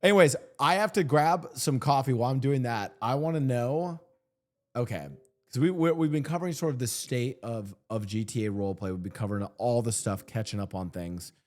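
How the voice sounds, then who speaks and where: Joking man in his thirties. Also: medium pitch. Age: 30s. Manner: joking, rambling, quick to laugh. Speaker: podcast host. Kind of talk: casual podcast chat.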